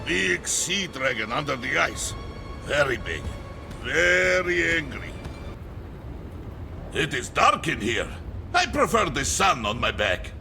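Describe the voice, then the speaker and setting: Hearty boastful warrior, booming, gravelly, slow, courteous, fantasy game. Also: male voice, sing-song, bright, forceful tone, soft background music, exaggerated voice. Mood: hearty, curious. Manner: simple phrasing, refers to himself by name. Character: big, booming, good-natured warrior man, boastful yet courteous. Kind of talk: fantasy game character acting.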